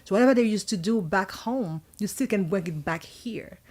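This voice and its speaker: A woman's voice: medium pitch, moderate pace, darkish tone. A woman who is an author and coach, a guest on a radio talk show, speaking in an earnest, encouraging way. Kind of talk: interview answers.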